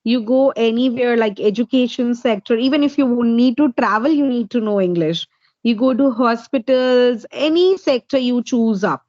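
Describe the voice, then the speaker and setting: A woman's voice: a little high, moderate pace, darkish tone, dry recording, muffled recording. A woman from India, a native Hindi speaker with an Indian accent, answering interview questions as an English tutor and laying out her points one by one. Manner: explains step by step, numbering points.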